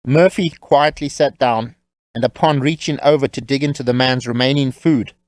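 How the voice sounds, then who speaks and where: A man's voice: medium pitch, fairly even intonation, moderate pace, a little mellow, dry recording. Wry male storyteller, dry close sound. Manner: wry, tongue-in-cheek storytelling. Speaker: narrator. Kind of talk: scripted storybook narration.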